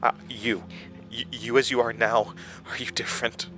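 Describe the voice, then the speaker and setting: A man's voice: mid-range voice, flat delivery, normal speed, fairly bright tone, faint music behind, lightly acted. A man acting in an audio drama as a troubled, anxious questioner who speaks in hesitant, broken, stammering phrases. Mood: strained, insistent.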